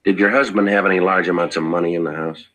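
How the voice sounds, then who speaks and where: Very deep, clipped radio detective. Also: male voice, fast, no echo, low-quality recording. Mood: polite, probing. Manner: clipped, deadpan, politely matter-of-fact questioning. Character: terse, businesslike male police detective narrating his case. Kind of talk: 1950s radio police drama acting and narration. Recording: vintage 1950s radio broadcast.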